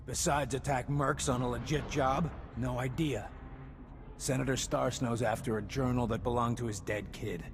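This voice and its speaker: A gravelly, world-weary mercenary played by a man, mid-pitched with plenty of creak. He talks in blunt, clipped, sardonic game dialogue over clear ambient noise. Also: steady tone, normal speed, natural voice. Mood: bitter, resigned. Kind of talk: scripted video game dialogue.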